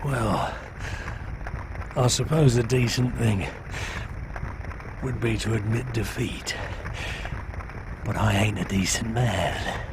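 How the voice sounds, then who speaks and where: An actor playing a gloating, scheming villain lord in a game cutscene, speaking with sneering, theatrical mockery. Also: male voice, on the low side, slow pace, pauses often, noisy background. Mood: bitter.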